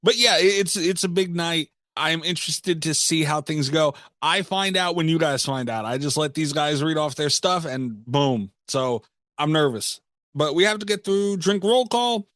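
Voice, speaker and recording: A man's voice: fairly high pitch, expressive, normal speed, a bit bright, no room sound, studio quality. A man hosting a podcast in casual banter, joking with profane exasperation. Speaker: podcast host.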